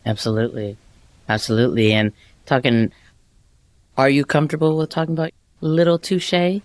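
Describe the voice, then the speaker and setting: A woman's voice: rumbling low, fairly even intonation, moderate pace, a little mellow, dry recording. A young woman from the Los Angeles area hosting a podcast interview, asking follow-up questions and sharing her own story. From Los Angeles area, USA. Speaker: podcast host. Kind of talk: conversational interview.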